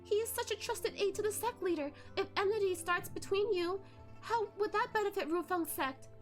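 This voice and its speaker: A woman's voice: very high voice, normal speed, faint music behind, pitched above the performer's own voice. A woman voicing a delicate, tearful young lady in a dramatic story, pleading humbly. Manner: weeping, trembling, humble pleading.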